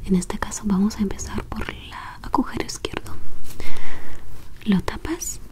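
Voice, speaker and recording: A woman gives soft step-by-step instructions as an examiner in an ASMR role play. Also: mid-range voice, unhurried. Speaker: ASMR performer playing a medical examiner.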